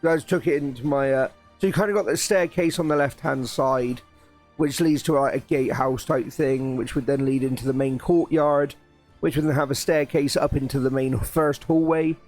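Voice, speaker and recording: A man chatting casually on a live stream, his voice a little croaky, rambling with restarts and sounding excited about his plans. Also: medium pitch, moderate pace, faint music behind. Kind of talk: casual live-stream chat while building.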